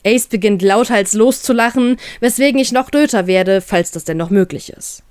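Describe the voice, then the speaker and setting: A woman's voice: mid-range voice, moderate pace, darkish tone, no room sound, studio quality. A woman reading a scripted story aloud as its narrator, in a dramatic storytelling style. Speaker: narrator of fan fiction audio story.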